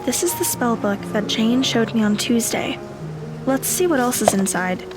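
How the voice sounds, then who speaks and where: A woman playing a troubled, self-critical teenage girl in an audio drama, kind and quiet by nature. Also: a little high, expressive, moderate pace, lightly acted. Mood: reflective, remorseful. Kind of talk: audio drama acting with inner monologue. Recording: music behind the voice.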